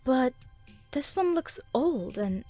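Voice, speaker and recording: A woman's voice: sits high, very animated, slow pace, mellow, soft background music, full character voice. A woman voicing an earnest, dramatic teenage cartoon girl in an emphatic, theatrical fan fiction reading. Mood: earnest, determined.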